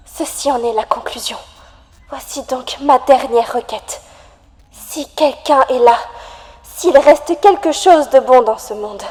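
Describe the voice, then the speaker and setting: A desperate young woman played by an actress pleads to be rescued from the dark in an animated horror story. Her voice is fairly high and mellow, earnest and theatrical, washed in long reverb. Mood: solemn pleading. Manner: pleading, earnest, slow dramatic delivery. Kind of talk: dramatic monologue in an animated horror fandub.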